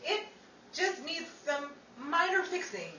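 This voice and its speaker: A scatterbrained young 1930s Hollywood hopeful, acted by a woman in radio comedy, breezy and defiant. Her high voice leaps around, heard in an echoey, noisy room. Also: very animated, slow pace, over a noisy crowd, full character voice. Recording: echoey room, distant mic, noisy audience.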